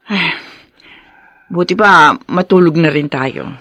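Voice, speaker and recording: A woman playing a devoted middle-aged wife and mother in an emotional audio drama, anxious and expressive. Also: lowish voice, slow, dry recording. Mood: puzzled, gentle.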